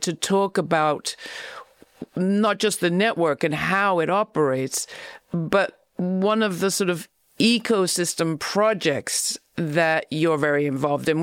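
Brief: woman interview host, smooth tone, dry close sound, friendly measured questions. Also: on the low side, dry recording. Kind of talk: conversational interviewing.

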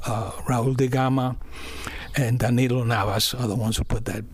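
Older male guest, hoarse, rambling conversational delivery, dry recording. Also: mid-range voice, fairly even intonation, moderate pace, dry recording. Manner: rambling, repeats words, restarts. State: hoarse from a cold. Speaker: musician and art director guest. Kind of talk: conversational interview. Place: in person in New York.

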